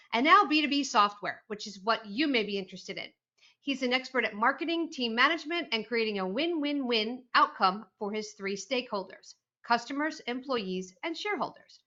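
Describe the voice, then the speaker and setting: A woman's voice: on the high side, sharp tone. A woman hosting a podcast interview, chatty and eager as she asks questions. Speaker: podcast host. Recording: muffled remote podcast audio.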